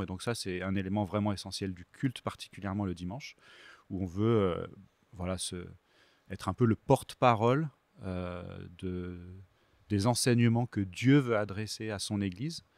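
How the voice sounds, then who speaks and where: Low-voiced man from France, pastor guest, measured and halting, dry booth sound. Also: gravelly, darkish tone, no room sound. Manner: thoughtful, halting with many fillers. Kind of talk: podcast interview guest.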